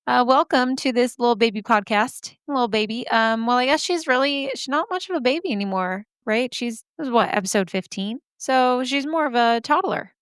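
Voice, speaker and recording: A woman in her thirties hosting a podcast, her voice hoarse and scratchy with vocal fry, rambling through self-deprecating asides. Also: a little high, lively intonation, moderate pace, few pauses, a bit bright, no room sound, clean studio sound. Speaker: podcast host. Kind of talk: chatty podcast intro.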